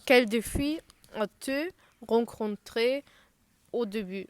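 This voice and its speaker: Slow, clear-voiced woman interviewer. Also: a little high, no echo. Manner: slow, halting delivery with restarts mid-word. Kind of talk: reading prepared interview questions.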